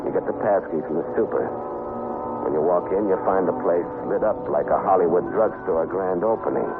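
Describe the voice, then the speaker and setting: An actor playing a tough, wisecracking private detective in 1950s radio crime drama, sardonic, clipped and threatening. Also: male voice, low voice, brisk, loud music behind the voice. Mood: wry, brooding. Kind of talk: 1950s radio crime drama acting, second-person narration. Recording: muffled, noisy 1950s radio broadcast recording.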